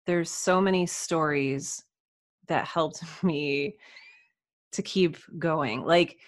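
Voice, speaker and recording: A woman's voice: sits low, very slow, no room sound, clean studio sound. A woman speaking as a podcast guest in casual interview conversation, reflective and earnest.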